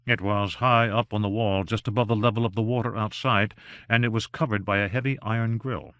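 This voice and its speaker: A man reading a novel aloud in measured, even narration. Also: lowish voice, clear, resonant tone, dry recording. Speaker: audiobook narrator. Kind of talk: scripted narration.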